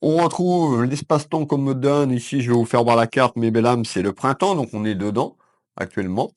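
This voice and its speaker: A man from France with a head cold giving a calm weekend card reading to viewers from his consulting office. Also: medium pitch, fairly quick. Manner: calm, addresses viewers as "mes belles âmes", with frequent fillers. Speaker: psychic card reader. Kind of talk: weekend tarot reading to camera.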